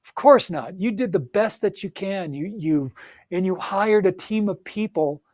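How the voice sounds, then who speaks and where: Man, fairly high voice, upbeat coaching talk, encouraging, dry room. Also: lively intonation, unhurried, a little mellow, no echo. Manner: upbeat, encouraging, speaks straight to the listener; frequent fillers and restarts. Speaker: audiobook coach. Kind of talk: motivational solo talk.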